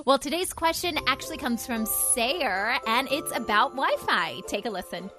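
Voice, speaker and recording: A woman's voice: sits high, animated, moderate pace, music in the background. A woman playing a chirpy, friendly Christmas elf who hosts a children's radio show, upbeat and chatty with listeners. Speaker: host.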